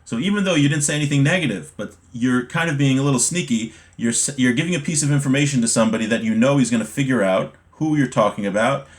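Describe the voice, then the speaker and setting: An American man with a mid-range voice leads an informal online class. He explains in a conversational, step-by-step way, as a teacher working through a text. His delivery is unscripted and leans on everyday examples. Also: medium pitch. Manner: conversational, explanatory, checks in with "right?". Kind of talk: informal text study, reading aloud then explaining with examples.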